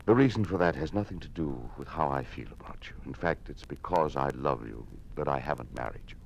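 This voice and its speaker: An actor playing a weary, burdened government official in an old-time radio drama, with a grave, measured delivery. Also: male voice, extremely low pitch, vocal fry, slight background noise. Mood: grave, earnest. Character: weary, burdened official resisting a tyrannical regime.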